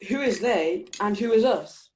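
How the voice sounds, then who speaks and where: A woman's voice: mid-range voice, animated, a little slow, a little mellow, slight background noise, lightly acted, rough recording. A young woman playing an affable, easily flustered society husband in a stage play, baffled and exclamatory. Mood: casual. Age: young adult.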